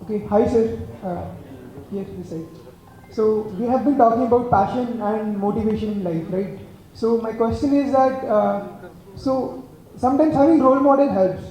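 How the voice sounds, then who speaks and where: A man's voice: sits high, dark tone. A man asking a polite, hesitant question from the audience at a press meet. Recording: very echoey hall.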